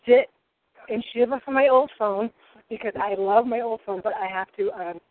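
A woman's voice: fairly high pitch, normal speed, very noisy. A woman in her forties with a Rhode Island accent, a guest cook chatting in a boisterous, rambling way. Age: 40s. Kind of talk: casual chat. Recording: tinny phone-like sound.

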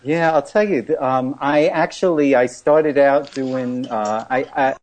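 A man, a rapper guest speaking over a video call in a halting, deadpan way. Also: mid-range voice. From Brooklyn.

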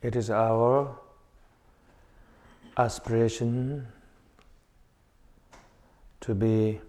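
A man leading a guided meditation in short, contemplative phrases with long pauses between them. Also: fairly low pitch, dark tone. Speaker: meditation teacher. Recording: long reverberant hall echo.

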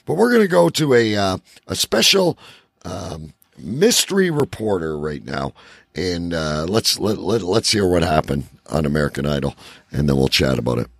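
A man's voice: sits low, very animated, normal speed, gravelly, dry recording. A man hosting a casual radio talk show in a rambling, joking way.

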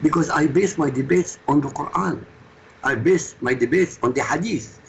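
A man's voice: on the high side, normal speed, gravelly, husky, low-quality recording. A man with an Iraqi Arabic accent, a native Arabic speaker, talking as a radio guest in an emphatic, insistent way that lectures and cuts in. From Iraq. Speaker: guest commentator. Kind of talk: heated radio talk.

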